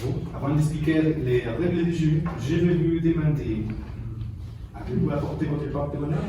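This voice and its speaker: A man's voice: fairly high pitch, normal speed. A man acting as game show host, presenting a staged quiz, recorded in a distant, noisy, echoey room. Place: large echoey room, far from the microphone.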